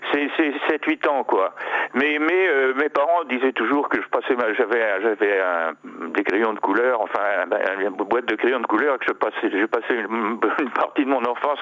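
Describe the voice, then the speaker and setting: A man in his seventies from Normandy talks at an ordinary mid pitch. He speaks in a halting way, full of fillers and restarts, with small chuckles. His voice comes through a muffled phone line. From Normandy, France. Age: 70s. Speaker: sculptor guest. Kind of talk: casual interview over the phone. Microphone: telephone line.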